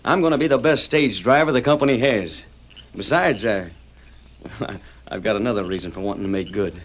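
An actor playing an eager, earnest young stagecoach driver in an old radio western, talking in a folksy way. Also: male voice, fairly low pitch, normal speed, natural voice. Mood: grateful, earnest. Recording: old radio recording with background noise.

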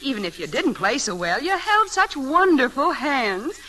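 An actress playing a gushing, fluttery matron in old-time radio comedy style, on a noisy old recording. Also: female voice, high-pitched, sing-song, normal speed, sharp tone, full character voice. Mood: insistent, coy. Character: gushing, fluttery matronly hotel owner, card-mad.

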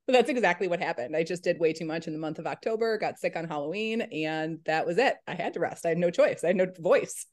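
A woman's voice: lowish voice, expressive, fast, a little mellow. A woman hosting a podcast, chatty and laughing easily as she tells stories. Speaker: podcast host. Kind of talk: casual podcast chat.

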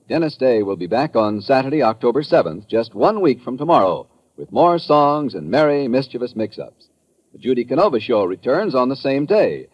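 A man's voice: mid-range voice, darkish tone, breathy edge. A man with an American accent reading scripted announcements in 1950s radio style, polished and emphatic. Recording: muffled old radio broadcast.

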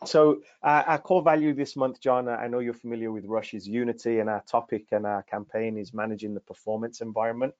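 A man hosting a video-call interview, introducing his guest in a friendly, halting way with lots of fillers. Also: mid-range voice, steady tone, a little mellow. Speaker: podcast host, coaching director. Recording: dry, dull call audio.

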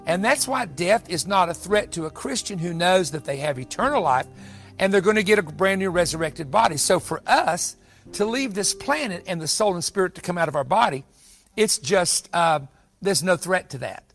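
Man, high-pitched preacher. Emphatic, exhorting prophecy sermon with repeated phrases, over background music. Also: animated, normal speed, fairly bright tone. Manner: emphatic, repetitive, exhorting delivery.

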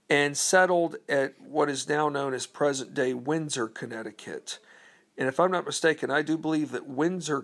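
A man giving an unscripted history talk, hesitant and full of ums and uhs, asking his listeners questions. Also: mid-range voice. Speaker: history presenter.

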